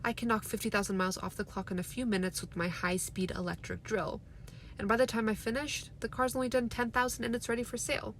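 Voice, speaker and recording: A woman's voice: on the high side, fairly even intonation, quick pace, a little hiss or hum, lightly acted. A woman voicing a boastful, bullying middle-aged car-dealer father in a storybook reading. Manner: brash, preaching his tricks with pride. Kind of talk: storybook read aloud.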